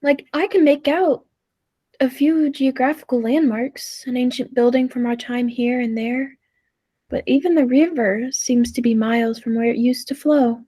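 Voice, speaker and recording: A woman's voice: high-pitched, steady tone, moderate pace, warm tone. A woman playing a time traveler's uneasy, doubtful companion in a 1940s-style radio play, earnest and hesitant.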